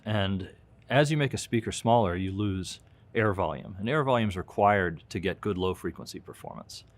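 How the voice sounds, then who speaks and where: Male engineer type, low-pitched, plain and instructive interview explanation. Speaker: audio engineering expert.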